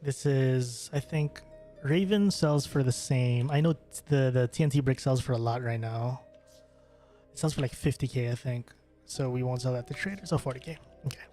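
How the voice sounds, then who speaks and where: A man's voice: medium pitch, normal speed, mellow. A young man from the Philippines, streaming a game and chatting casually with viewers, rambling with many fillers and restarts. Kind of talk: casual gameplay stream chat. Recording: faint music behind voice.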